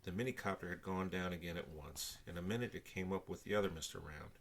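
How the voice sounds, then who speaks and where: An older man reading a children's story aloud with expressive narration. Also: low voice, quick pace, creaky, slight background noise.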